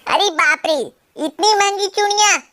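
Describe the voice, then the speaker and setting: A woman's voice: very high-pitched, normal speed, fairly bright tone. A woman voicing a nosy, haggling female crow in a children's cartoon, full of exclamations. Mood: haggling, indignant. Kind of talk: Hindi children's cartoon dialogue.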